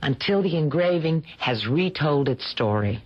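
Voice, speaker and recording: Insistent, husky low-voiced woman. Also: expressive, measured pace, full character voice. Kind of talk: radio drama acting. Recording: muffled old radio drama recording.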